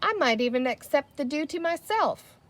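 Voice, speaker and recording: Woman as a pompous, high-voiced little green chief. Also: lively intonation, moderate pace.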